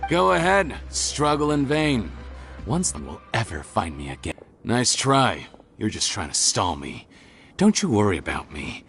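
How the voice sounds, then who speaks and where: Low-voiced smug villain, animated. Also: male voice, measured pace, gravelly, full character voice. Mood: cold, gloating. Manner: taunting, condescending. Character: ruthless scheming male escaped-convict villain, smug and cold. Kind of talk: dramatic video game voice acting. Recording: loud game music underneath.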